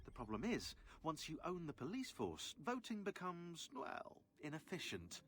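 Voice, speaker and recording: Wry, animated briefing narrator. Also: male voice, fairly high pitch, moderate pace, slight background noise. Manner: dry, sardonic. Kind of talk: scripted narration of a character profile.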